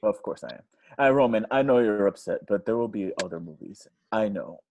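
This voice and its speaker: A man playing a harried, smooth-talking Hollywood studio boss in a horror script read at home. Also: on the low side, lively intonation, brisk, darkish tone, a little hiss or hum. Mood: placating, flustered. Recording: dull home video-call audio.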